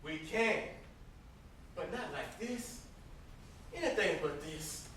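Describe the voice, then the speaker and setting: A man's voice: on the high side, expressive, slow, a bit bright. A young man acting an anguished, conscience-stricken brother in a stage play, earnest and pleading. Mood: exasperated, pleading. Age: young adult. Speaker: student stage actor. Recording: distant, very echoey, noisy stage recording.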